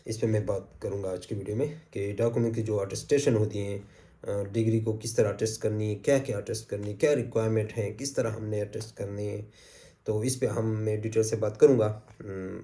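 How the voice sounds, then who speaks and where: Brief: male channel host, a bit hoarse, very dark mellow tone, casual explainer. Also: lowish voice, steady tone, brisk. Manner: easygoing, repeats points, frequent "uh" fillers. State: sore throat. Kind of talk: casual advice talk to camera.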